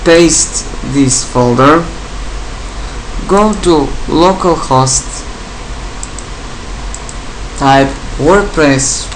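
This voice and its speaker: A man from Bulgaria with a Bulgarian accent, English not his first language, giving a software tutorial in short, plain step-by-step instructions. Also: a little high, extremely slow, long pauses, noisy background. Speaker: tutorial presenter. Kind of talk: screen-recorded software tutorial.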